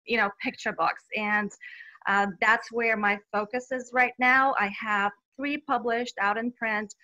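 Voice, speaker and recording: A woman, speaking in a bright, fairly high voice. She is a children's author answering interview questions in a cheerful way, laughing often and filling pauses with "um.". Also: steady tone, poor audio. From Bulgaria. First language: Bulgarian. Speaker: children's book author, guest.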